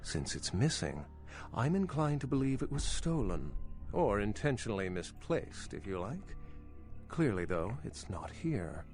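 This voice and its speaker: A man's voice: lowish voice, big pitch swings, unhurried, a little mellow, faint music behind. A man playing an erudite, skeptical vampire archaeologist in a video game, speaking in an articulate, sardonic and pedantic way. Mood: measured explaining. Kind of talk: video game dialogue acting.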